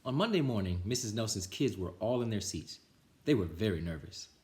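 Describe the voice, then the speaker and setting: A man's voice: on the low side, lively intonation, a little fast, a little mellow. A man reading a children's picture book aloud as a storytime reader, expressively, with small stumbles.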